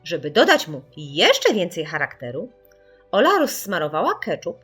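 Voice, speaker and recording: A woman reading a children's story in an expressive, theatrical storytelling manner. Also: lowish voice, moderate pace, breathy edge, faint music behind. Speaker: audiobook narrator.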